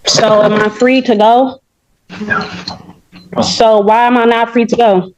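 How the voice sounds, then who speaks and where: High-voiced woman defendant, dull courtroom recording. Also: fairly even intonation, slow. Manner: persistent, colloquial. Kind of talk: informal questioning in court. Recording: dull, noisy courtroom microphone audio.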